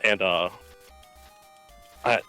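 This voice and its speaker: A man's voice: deep voice, fairly even intonation, extremely slow, bright, forceful tone. A man calling in to a gaming livestream, chatting casually in a hesitant, laconic way. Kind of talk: casual chat. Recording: game music behind the voice. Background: video game music.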